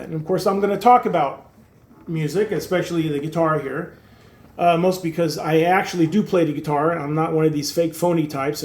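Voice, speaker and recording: A man's voice: a little high, a little mellow. A man in his sixties who grew up in Turkey and speaks Turkish natively, talking casually to camera as host of his own channel, rambling with lots of fillers and wry self-deprecating humor. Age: 60s. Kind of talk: casual talking-head monologue.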